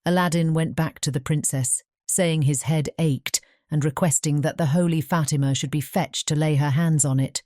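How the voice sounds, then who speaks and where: A woman's voice: low voice, fairly even intonation, normal speed, hardly any pauses, dark tone, no room sound. A woman reading scripted storybook narration as an audiobook narrator.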